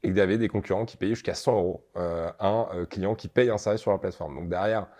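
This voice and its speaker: A man's voice: deep voice, quick pace, mellow. A young man from the Paris region, a startup founder, answering interview questions with many hesitations and repeated words. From Paris region, France.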